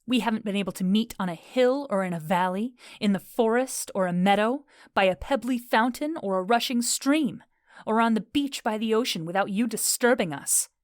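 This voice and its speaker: A woman's voice: mid-range voice, no room sound, clean studio sound, close to an everyday voice. A woman playing a proud, defiant fairy queen in an audio drama, declaiming with dramatic indignation. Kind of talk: modern-English audio drama acting.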